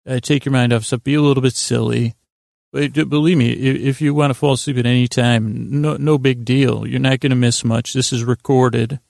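A man hosting a sleep podcast in lulling, soothing tones, meandering and halting through a gently self-deprecating monologue. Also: mid-range voice, fairly even intonation, creaky, dry recording, clean studio sound. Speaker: podcast host.

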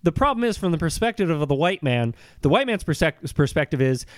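A man's voice: a little high, very animated, fairly quick, no room sound. A man co-hosting a podcast, bantering casually in a sardonic, joking manner. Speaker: podcast co-host.

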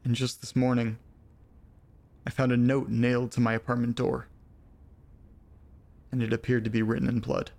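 Man acting as an uneasy, gravelly-edged letter reader. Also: mid-range voice, completely flat delivery, moderate pace, long pauses, a little mellow. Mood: tense, haunted. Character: troubled man reading strange letters aloud, profane and uneasy. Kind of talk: audio drama narration.

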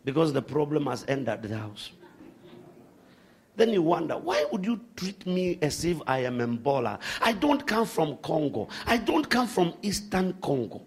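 A man preaching a sermon to a congregation, animated, swinging from shouts to hushed asides. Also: fairly high pitch, unhurried.

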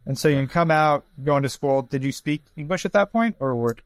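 A man's voice: fairly high pitch, fairly quick, dry recording. A man hosting a podcast interview in a casual, informal and inquisitive way, with some joking.

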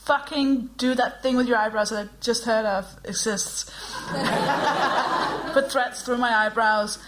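A woman co-hosting a live comedy show, teasing and quick-witted. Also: a little high, noisy background. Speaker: co-host. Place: live venue with audience.

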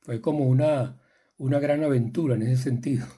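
An older man from the Canary Islands recalls his life in a mellow, warm voice. He talks in a reflective, rambling way, restarting phrases and often adding a questioning "no?" at the end. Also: mid-range voice, steady tone, normal speed, slightly rough. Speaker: guest filmmaker. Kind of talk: relaxed storytelling.

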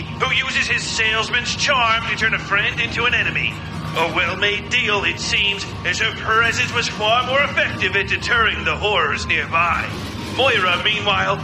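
High-pitched man narrating over music. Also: low-quality recording. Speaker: narrator of episode recap. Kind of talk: scripted dramatic narration.

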